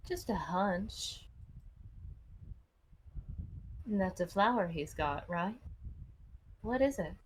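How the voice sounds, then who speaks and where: A woman's voice: medium pitch, expressive, a little slow, slight background noise, lightly acted, poor audio. A woman voicing a blunt, commanding adventurer in a visual novel read aloud, with a curt, sardonic, casual delivery. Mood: wry, probing.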